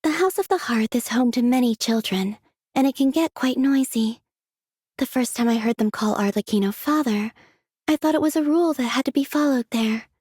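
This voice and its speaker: An actress voicing a gentle, aloof young woman in a video game, with a soft breathy voice and unhurried, wistful, quietly curious delivery. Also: fairly high pitch, no room sound, studio quality. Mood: innocent curiosity. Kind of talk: scripted game character voice lines.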